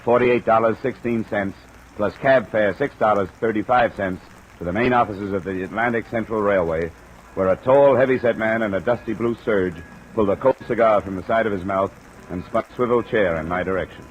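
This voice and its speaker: An actor playing a brisk, businesslike insurance investigator who narrates and questions in hard-boiled old-time radio style. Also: male voice, fairly low pitch, natural voice. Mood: dry, narrating. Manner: clipped, businesslike, dry. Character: cool, brisk male freelance insurance investigator. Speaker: lead character and narrator. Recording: muffled, faintly noisy old radio recording.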